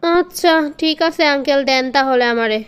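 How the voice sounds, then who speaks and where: A woman's voice: sits high, moderate pace, slight background noise, close to an everyday voice. A woman with a Bangladeshi accent playing an exasperated, ordinary young woman in a cartoon skit. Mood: complaining.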